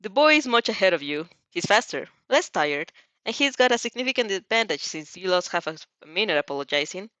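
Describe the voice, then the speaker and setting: A woman's voice: mid-range voice, sing-song, a little fast, fairly bright tone, dry recording, dull audio. A woman reading a story aloud expressively as an amateur, often stumbling and rereading phrases. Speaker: fanfiction audiobook reader.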